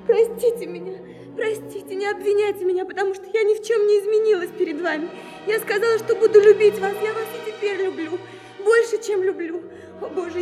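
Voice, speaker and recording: Very high soft-toned girl in love, tender and imploring, radio play, music behind. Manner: emotional, imploring, hushed at moments. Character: Nastenka, tender pleading young woman in love. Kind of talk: literary radio drama monologue.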